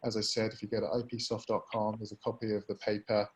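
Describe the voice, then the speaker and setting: A man's voice: on the low side, level tone, fast talker. A man hosting a business webinar, moderating the discussion in a conversational way. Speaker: webinar host.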